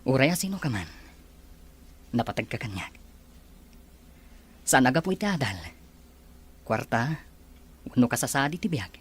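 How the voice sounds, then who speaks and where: A man's voice: mid-range voice, very animated, normal speed, pauses often, slightly rough. An actor playing a confident, courteous businessman courting a woman in a radio drama, earnest and assured. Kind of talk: Ilocano radio drama acting.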